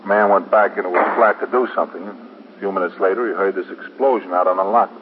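An actor playing a respectful, plain-spoken police sergeant in a 1950s radio drama, reporting in a dutiful, matter-of-fact way. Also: male voice, low voice, steady tone, fast, phone-quality sound, lightly acted. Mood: grave, reporting. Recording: phone-thin, hissy 1950s radio broadcast.

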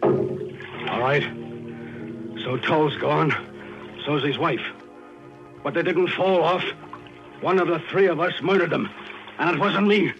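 An actor playing a sly, insinuating shipwreck survivor in a 1940s radio drama. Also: male voice, a little high, slow pace, long pauses, a bit bright, soft background music. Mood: suspicious. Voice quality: probing, insinuating manner. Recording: dull old radio broadcast recording.

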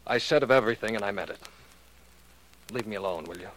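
A man's voice: on the low side, fast, fairly bright tone, natural voice, rough recording. A man playing a weary, irritable young scientist, curt and defensive, in 1940s radio drama style. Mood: weary, irritated. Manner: curt, dismissive. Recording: vintage 1940s radio recording.

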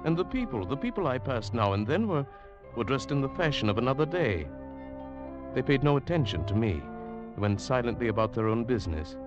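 An actor playing an uneasy traveller who narrates an eerie tale in a dramatic 1940s radio drama style. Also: male voice, medium pitch, very animated, a little fast, lo-fi sound, natural voice. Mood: haunted, wondering. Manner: dramatic, hushed swelling to agitated. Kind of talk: 1940s radio drama acting, first-person scripted narration. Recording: old radio broadcast with music.

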